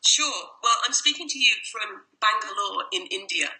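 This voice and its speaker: Woman, English accent, very bright tone, chatty, self-deprecating, mid pitch. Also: animated, moderate pace, rough recording. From Ireland. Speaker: guest, life coach. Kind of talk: casual interview chat. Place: home in a noisy city.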